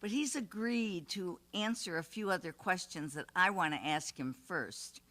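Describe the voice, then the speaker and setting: An older woman with a loud voice, welcoming residents and interviewing a guest at a community gathering in a retirement home's meeting room. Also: fairly low pitch, moderate pace. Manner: loud voice, warm welcoming announcer tone, laughs often. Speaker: community committee member introducing a guest.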